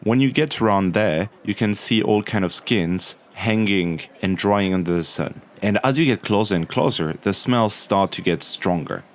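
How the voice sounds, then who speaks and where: A young man telling about his travels in a relaxed, chatty way, with lots of small fillers. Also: on the low side, moderate pace, few pauses. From Morocco. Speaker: travel vlogger. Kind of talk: casual travel vlog narration.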